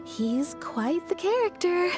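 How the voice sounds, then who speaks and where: A woman's voice: extremely high pitch, big pitch swings, slow, music in the background, heavily acted. An actress playing a kindly, fair-minded, chatty young townswoman in a video game. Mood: amused.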